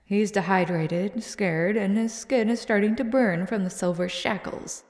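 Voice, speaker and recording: A woman voicing a hardened female vampire hunter who bosses a rookie around in an audio roleplay, speaking in a low, commanding, teasing way that often sinks to a hush. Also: a little slow, dry recording, studio quality. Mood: grim, instructive. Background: faint ambient soundscape.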